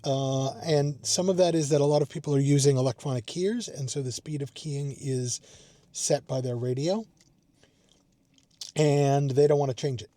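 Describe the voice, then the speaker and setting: A man's voice: medium pitch, steady tone, normal speed, warm tone, dry recording. A man talking casually to a vlog from inside a parked car, rambling and thinking aloud in a self-deprecating way. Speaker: amateur radio vlogger. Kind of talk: casual unscripted vlog talk.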